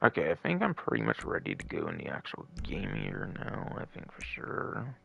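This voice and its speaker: Deep, gravelly male game streamer, swearing casually. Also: wildly swooping pitch, normal speed, fairly bright tone, highs cut off. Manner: rambling, muttering asides, profane. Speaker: video game live streamer. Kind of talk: casual rambling livestream commentary. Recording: faint background noise.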